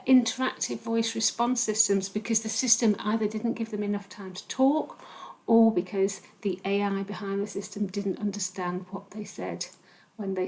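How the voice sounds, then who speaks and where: A woman giving an earnest, measured video message as a charity's deputy chief executive, with occasional stammered repeats. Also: medium pitch, darkish tone, low-quality recording.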